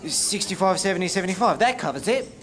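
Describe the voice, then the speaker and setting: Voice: medium pitch, expressive, fast talker, a bit bright, a little hiss or hum, close to an everyday voice. An actor voicing a blunt, businesslike tavern barman. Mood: gruff. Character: gruff tavern barman, adult.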